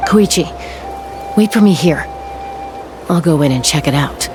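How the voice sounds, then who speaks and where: A woman's voice: deep voice, lively intonation, measured pace, noisy background. A woman playing a cool, protective young tribeswoman warrior in a video game, confident and matter-of-fact. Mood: determined. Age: young adult. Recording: music under the voice.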